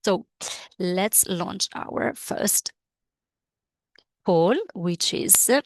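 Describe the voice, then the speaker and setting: Woman with Italian as her first language, low voice, webinar trainer, hesitant with fillers, dry close sound. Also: steady tone, drawn-out words, no echo. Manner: hesitant, many fillers and restarts. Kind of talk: live product webinar.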